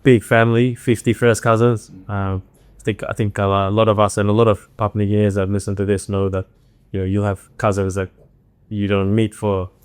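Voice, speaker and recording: A man's voice: fairly low pitch, little pitch movement, a little fast, darkish tone, smooth, no room sound. A man hosting a podcast, friendly and affirming, with casual slang. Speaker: podcast host. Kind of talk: casual interview chat.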